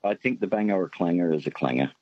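A man's voice: deep voice, animated, very fast, no room sound. An Australian man calling in to a radio quiz, easygoing and laconic. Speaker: radio caller. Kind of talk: phone-in chat. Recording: dull lo-fi phone line.

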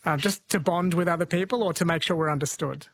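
Husky-voiced male radio host. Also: a little high, fast talker. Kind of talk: talk radio hosting.

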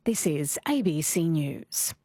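Woman newsreader, Australian accent, lowish voice, dry studio. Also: animated, warm tone, dry recording. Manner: crisp, measured reading. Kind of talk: radio news bulletin.

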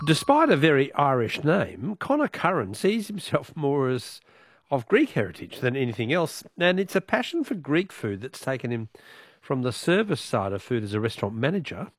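A man's voice: medium pitch, big pitch swings, moderate pace, no echo. A man hosting a chatty evening radio interview in a jovial, teasing manner. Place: radio studio.

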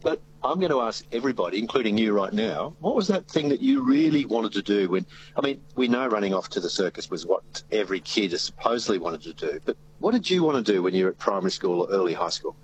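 Easygoing low-voiced male radio host. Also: very animated, fast talker, dull audio, a little hiss or hum. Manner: upbeat, easygoing, with fillers. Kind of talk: casual radio chat, promoting his show.

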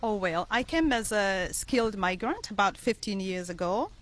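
A woman's voice: medium pitch, expressive, a bit bright. Earnest middle-aged Kenyan woman. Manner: earnest, measured, with frequent ums and uhs. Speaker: community leader guest. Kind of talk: radio interview.

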